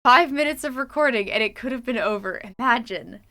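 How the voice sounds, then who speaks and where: A woman's voice: fairly high pitch, fairly quick, sharp tone. A young adult Canadian woman streaming a game, chatting casually and reading her journal entries aloud. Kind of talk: casual livestream chat while gaming.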